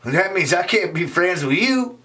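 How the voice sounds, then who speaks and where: A man's voice: high-pitched, animated, normal speed, pushed and bright, pitched above the performer's own voice. A man voicing a squirrely, disloyal schoolboy friend who talks in a nervous hurry.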